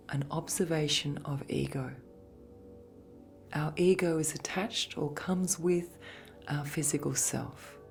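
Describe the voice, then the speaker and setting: A woman gently narrating a guided sleep meditation in a soft, breathy, soothing voice, with measured phrases and long pauses. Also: deep voice. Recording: voice over background music. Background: meditation music.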